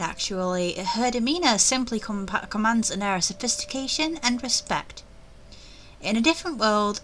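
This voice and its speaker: A woman reading a visual novel aloud in first person, animated and chatty, with offhand asides. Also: medium pitch, a little hiss or hum, rough recording.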